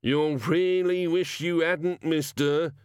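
A man's voice: on the low side, takes it slowly, fairly bright tone, dry recording, studio quality. A man voicing a small shabby gnome thief in a storybook reading, pleading and evasive, with hesitant, uneducated street speech.

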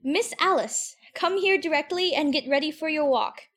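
A woman's voice: sits high, normal speed, a bit bright. A woman voicing a curious, chatty young girl, musing primly to herself in an audiobook. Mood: playful. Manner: musing, prim, talking to herself. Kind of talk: audiobook character acting.

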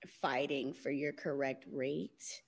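A woman's voice: rumbling low, steady tone, takes it slowly, gravelly, husky. A young adult woman, a dancer and model, talking candidly as a guest in a casual interview, often laughing and using "y'all" and "like.".

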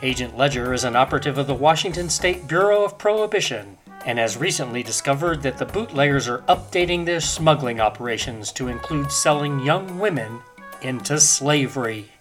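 Man, announcer, mid pitch, dramatic scripted narration, old-time radio style, music behind. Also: animated, a little fast, fairly bright tone.

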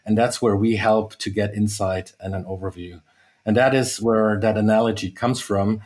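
A middle-aged man from the United States, speaking as a sales executive guest in a podcast interview, measured and with many fillers and restarts. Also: fairly low pitch, fairly even intonation, darkish tone.

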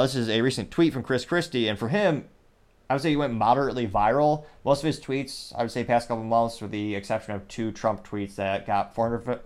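A man hosts his own commentary show, his voice sitting at an everyday middle pitch. He rambles, doubles back and corrects himself mid-sentence. It is captured on a small USB microphone at a home setup. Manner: rambling, self-correcting, mentions stuttering and speaking fast. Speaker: show host.

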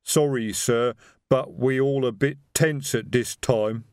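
A man voicing a huge, slow-witted male troll sergeant with a rumbling, plodding delivery. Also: steady tone, a little mellow, clear, resonant tone, dry recording, studio quality, lightly acted. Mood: apologetic.

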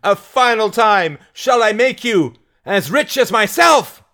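A man's voice: high-pitched, expressive, measured pace, fairly bright tone, pitched above the performer's own voice, full character voice. A man voicing a fiery, defiant rebel woman who preaches to rouse a mob in declamatory audiobook oratory. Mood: goading, screaming. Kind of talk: audiobook character acting.

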